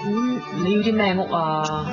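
A woman's voice: medium pitch, moderate pace, close to an everyday voice. An actress playing a frank, worldly, teasing woman in a Hong Kong Cantonese radio drama, nagging with sighs and slang. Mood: helpful, teasing. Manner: blunt, sighing, animated nagging with slang. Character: frank, worldly, teasing female friend scolding and helping. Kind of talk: 1990s radio drama acting. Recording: dull recording with music behind.

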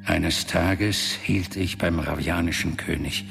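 An actor playing a proud, lovelorn demon warlord who confesses his past in a brooding, measured radio drama monologue. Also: low-pitched, fairly even intonation, creaky, fairly bright tone, heavily acted. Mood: proud, scheming. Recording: music under the voice.

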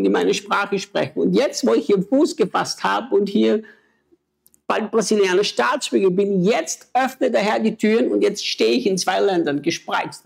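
A man's voice: high-pitched, creaky, darkish tone, breathy edge. A man, a missionary preacher and native German speaker, talking with emotional, urgent exhortation in a conversation.

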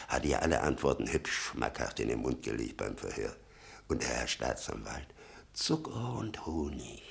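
An actor playing a bitter, sarcastic old father in a German radio play, rambling and muttering with a gravelly voice. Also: a bass voice, big pitch swings, normal speed, heavily acted. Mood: bitter, brooding. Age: older. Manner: rambling, sardonic, muttering and flaring up.

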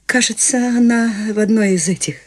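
A woman's voice: fairly high pitch, moderate pace, natural voice. An actress playing an eccentric, free-spirited elderly woman in a studio radio play, tender and wistful. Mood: whimsical. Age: older. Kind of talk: studio radio drama acting.

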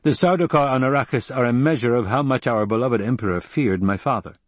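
A proud young nobleman with an imperious, forceful way of speaking, as a male narrator puts him on. The voice is lifted and louder than the narration, with a husky edge, close and dry. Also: mid-range voice, a little fast, no room sound, pitched above the performer's own voice, close to an everyday voice. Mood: commanding.

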